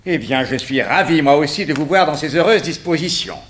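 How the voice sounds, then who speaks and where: An actor playing a pompous, officious, touchy police inspector in a French radio detective drama. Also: male voice, on the high side, lively intonation, fairly quick, a bit bright. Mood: haughty, curt. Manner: pompous, self-important.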